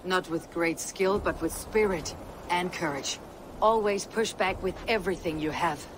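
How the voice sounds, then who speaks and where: An actress playing a stern, commanding young Viking warrior woman in a video game, speaking in blunt, measured lines. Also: on the low side, lightly acted. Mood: encouraging. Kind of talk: video game cutscene acting. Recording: outdoor ambience noise behind voice.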